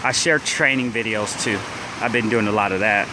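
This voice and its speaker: A man's voice: lowish voice, normal speed, noisy background. A young man with a downstate Illinois accent, rambling casually with lots of fillers while streaming from a phone at a beach at dawn. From central Illinois. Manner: rambling, many restarts and "like" fillers. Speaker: vlogger. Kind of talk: casual livestream chat. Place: beach at dawn, outdoors by the waves. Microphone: phone held while walking. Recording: phone video with loud surf behind. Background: surf and waves.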